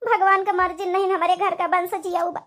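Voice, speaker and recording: Woman as devout old mother-in-law, cartoon drama, extremely high pitch, bright tone, Awadhi accent, exclaiming. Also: flat delivery, fairly quick. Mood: relieved, devout. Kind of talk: cartoon family drama acting.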